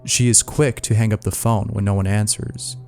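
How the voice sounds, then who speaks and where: A man's voice: on the low side, steady tone, normal speed, creaky, darkish tone. A man narrating scary mystery stories from a script, as the narrator of a video. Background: music.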